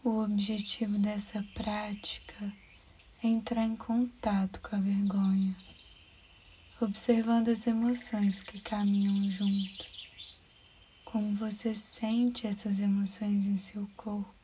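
A Brazilian woman guiding a meditation in a soothing, soft-spoken way, with breathy phrase endings. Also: mid-range voice, flat delivery, slow pace. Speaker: meditation guide. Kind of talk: guided meditation narration. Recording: clear background noise with birdsong. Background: birds chirping, outdoor-like ambience.

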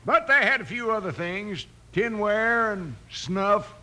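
An actor playing a feisty, boastful old frontier grandpa with a folksy drawl, in an old-time radio western. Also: male voice, sits high, expressive, slow pace, bright, forceful tone, exaggerated voice. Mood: boastful, rambling. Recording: vintage radio recording, faint hiss.

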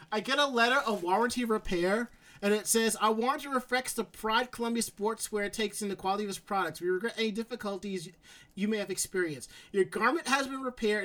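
A man with a New York accent hosting a casual live stream chat, telling stories and acting out his own reactions. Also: high voice. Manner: animated, quotes himself in asides. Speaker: stream host.

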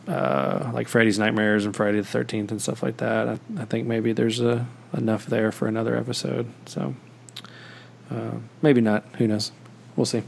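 A man's voice: mid-range voice, gravelly, slight background noise. A man hosting a podcast in casual chat, rambling with many fillers and restarts. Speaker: podcast host.

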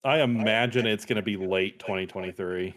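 A man hosting a casual gaming podcast, keeping the talk moving in a relaxed way. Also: lowish voice, fairly quick.

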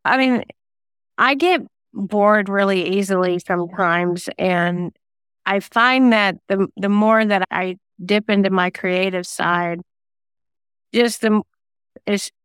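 Woman with a clear, smooth voice. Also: medium pitch, slow, a bit bright, no room sound, studio quality. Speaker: guest, singer-songwriter and novelist. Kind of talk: conversational interview answers.

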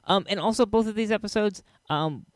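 A man hosting a solo podcast in a casual, halting and enthusiastic way. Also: sits high, no room sound, clean studio sound. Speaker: podcast host.

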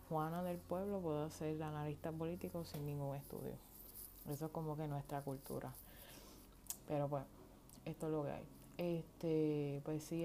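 A woman's voice: low-pitched, mellow. A woman from Puerto Rico with a Puerto Rican Spanish accent, hosting a casual solo podcast in an informal, rambling way with slang and some English words. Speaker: podcast host. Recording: home recording with outdoor yard noise. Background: faint noise from outside.

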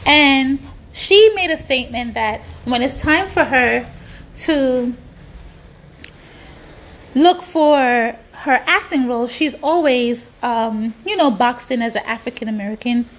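A woman's voice: sits high, slow pace, fairly bright tone. A woman delivering an opinionated, exasperated monologue in colloquial speech, with rhetorical questions and frequent swearing.

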